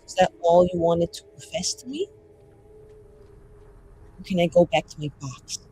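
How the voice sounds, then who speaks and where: A man's voice: on the high side, measured pace, a little mellow, poor audio. A man voicing a proud, embittered male mage with a formal, sardonic manner in a tabletop roleplaying game. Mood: proud, scornful. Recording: faint background noise.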